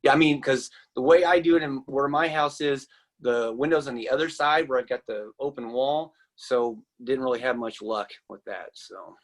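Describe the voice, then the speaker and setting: A man on a webinar video call, answering as a video creator in a relaxed, rambling way. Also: medium pitch, moderate pace. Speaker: panel guest, video creator. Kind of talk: casual panel answer.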